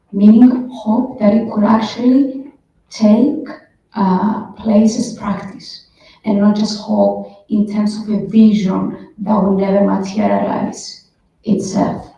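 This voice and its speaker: A woman's voice: medium pitch, level tone, slow pace, mellow, a little hiss or hum. A woman speaker answering an audience question at a conference, hesitant with many fillers, recorded from far off in a hall. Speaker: plenary speaker. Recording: roomy hall, far from the mic, dull.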